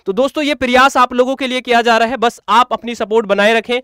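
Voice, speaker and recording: A man with a strikingly high voice walks viewers through a tutorial. His tone is very smooth and clear, recorded close and dry. He instructs step by step, often repeating himself. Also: steady tone, quick pace, pushed and bright, dry recording. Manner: repetitive, instructional, addresses viewers as friends. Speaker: exam coaching presenter. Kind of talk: screen tutorial, step-by-step explanation.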